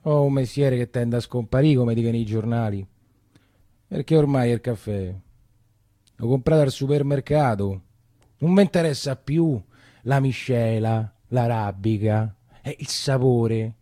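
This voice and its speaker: An actor playing a weary, chatty Roman coffee-shop keeper, rambling and repeating himself in a colloquial theatrical monologue with a Roman accent. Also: male voice, mid-range voice, unhurried, mellow, dry recording, clean studio sound, natural voice. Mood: chatty, grumbling. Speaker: stage actor.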